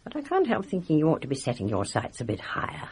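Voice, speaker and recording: Nosy village nurse lady, gossipy, husky, very up and down. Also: sits low, wildly swooping pitch, fast, darkish tone, heavily acted. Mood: meddling. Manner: confiding, advising, knowing. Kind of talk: radio drama acting.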